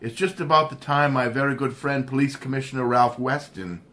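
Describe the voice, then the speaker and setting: An actor playing a suave, wealthy crime-fighter in a 1930s-style radio drama, smooth and confident. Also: male voice, medium pitch, steady tone, brisk, a bit bright, lightly acted. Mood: breezy.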